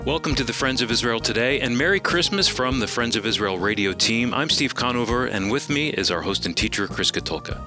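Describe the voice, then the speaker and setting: A man co-hosting a radio program, reading scripted announcements and news in a polished, measured way. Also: mid-range voice, lively intonation, music in the background.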